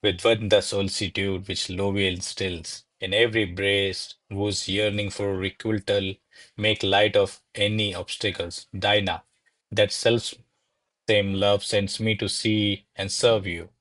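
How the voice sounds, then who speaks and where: An actor playing courtly men of a classical comedy in a radio play, with formal, florid theatrical delivery. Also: male voice, lowish voice, flat delivery, measured pace, a bit bright, no echo. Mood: pompous, ardent. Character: courtly servants and grandiloquent noble suitor of classical Spanish comedy. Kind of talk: stage-style radio play acting.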